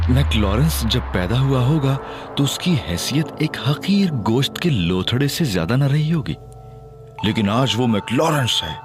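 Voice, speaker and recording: A cocky, joking young detective. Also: male voice, mid-range voice. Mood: boastful. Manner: playful, teasing, theatrical. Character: cocky, joking young male detective and first-person narrator. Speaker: Ali Imran. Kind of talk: audio drama acting and narration.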